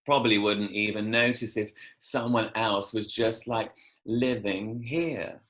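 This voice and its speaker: A man's voice: fairly low pitch, fairly even intonation, a little slow, a bit bright. An actor playing a laid-back, playful male companion, teasing and casual, in a scripted drama read over a video call.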